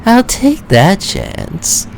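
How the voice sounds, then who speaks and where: A woman acting female roles in a comic book dub, from anxious wife to sly thief, in a measured, dramatic way. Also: mid-range voice, huge pitch swings, creaky, slight background noise, full character voice. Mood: sly, cool.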